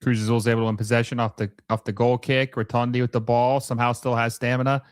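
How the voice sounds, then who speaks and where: A man's voice: medium pitch, little pitch movement, fast talker, clear, resonant tone. The man you would hear on a fan's live watch-along stream, casually calling each touch in short bursts from a dry, echo-free spot. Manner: casual fan-like reactions in short, clipped play-by-play phrases. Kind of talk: casual live match commentary.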